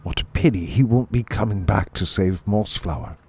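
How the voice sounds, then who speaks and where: A man voicing a gentle, wistful mouse in a storybook, speaking softly and earnestly with a sighing tone. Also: on the low side, moderate pace, slight background noise, natural voice. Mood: wistful, admiring. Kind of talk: storybook character voice.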